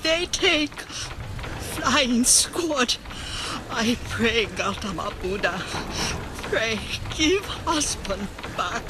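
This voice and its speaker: An actress playing a grieving Chinese immigrant wife in a video game, with a Chinese accent and halting, broken phrasing. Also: female voice, fairly high pitch, animated. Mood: grieving, pleading. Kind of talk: dramatic video game acting. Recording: rainy background noise.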